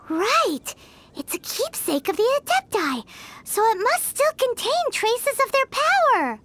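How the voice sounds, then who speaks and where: Casting: tiny chirpy fairy girl, very high, bouncy up-and-down delivery, game music under. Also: fairly bright tone, exaggerated voice. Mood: lively. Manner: talks about herself in third person, eager, chatty. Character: small chirpy female fairy companion, excitable. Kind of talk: video game cutscene voice acting. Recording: game soundtrack music behind.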